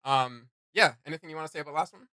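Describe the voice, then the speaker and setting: A man hosting a reaction video with casual, excited commentary, speaking in an emphatic, slangy, exclamatory way. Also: fairly high pitch, rapid-fire, clear, resonant tone, no room sound, clean studio sound. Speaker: reaction channel host. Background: music.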